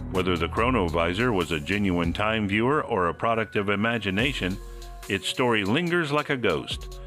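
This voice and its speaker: A man's voice: fairly low pitch, expressive, normal speed, over music. A man hosting a podcast, reading scripted mystery narration in a suspenseful, theatrical manner. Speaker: podcast host.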